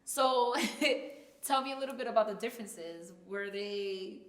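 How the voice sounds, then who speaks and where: A woman's voice: medium pitch, a little slow. Woman interview host in an echoey room. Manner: encouraging, laughs along. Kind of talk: casual interview.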